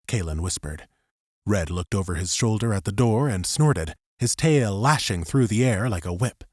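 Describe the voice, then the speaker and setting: Casting: male audiobook narrator, lowish voice, scripted story narration, close dry sound. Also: animated, normal speed, darkish tone, breathy edge, no echo.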